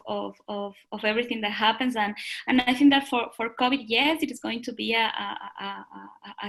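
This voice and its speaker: A middle-aged woman from Ecuador with a Spanish accent, answering interview questions with many pauses, fillers and repeated words. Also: on the high side, a bit bright. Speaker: guest.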